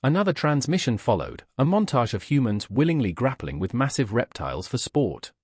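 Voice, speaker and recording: Animated male sci-fi story narrator. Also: mid-range voice, brisk, husky, no room sound, clean studio sound. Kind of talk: scripted science fiction narration, wry.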